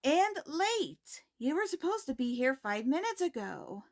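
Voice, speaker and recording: Woman playing a high, slow-paced, animated school principal. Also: full character voice. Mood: mildly scolding. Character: poised, caring high school principal giving morning announcements. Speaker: host reading school announcements. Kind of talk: scripted announcement.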